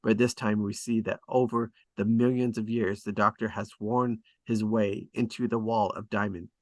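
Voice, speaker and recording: Low-voiced man reading stage directions, very dry sound. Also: flat delivery, normal speed, no room sound. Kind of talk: scripted narration at a table read.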